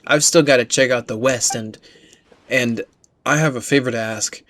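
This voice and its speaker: A man's voice: medium pitch, moderate pace, fairly bright tone, voice pushed up, natural voice. A man reading game dialogue as a caring, worried young patrol woman, earnest and polite. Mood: worried, requesting.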